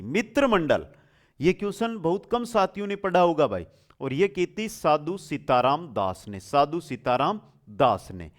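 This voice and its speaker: A man runs a quiz-style exam lesson. His voice sits on the high side and moves up and down in a very lively way. He puts questions emphatically and repeats the key words so they stick. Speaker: exam coaching teacher.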